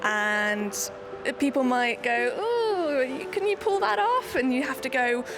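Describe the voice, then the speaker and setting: A chatty woman from Nottingham talks about her theatre work, restarting and correcting herself as she goes. Her voice sits a little high, and clear music plays underneath her. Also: sing-song, slow. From Nottingham, England. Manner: chatty, self-deprecating, many restarts. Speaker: solo theatre maker. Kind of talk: documentary interview.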